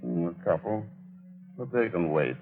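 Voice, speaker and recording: An actor playing a dry, commanding secret agent with wry, clipped delivery in a radio drama. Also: male voice, low-pitched, sing-song, moderate pace. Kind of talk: 1940s radio acting. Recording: dull old radio recording, music behind.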